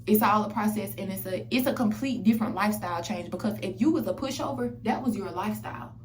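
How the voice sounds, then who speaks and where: A woman's voice: medium pitch, fast talker, a little mellow. A young woman giving a casual motivational monologue, blunt, profane and emphatic, repeating phrases for effect. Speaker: self-help vlogger. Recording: echoey, distant mic, background noise.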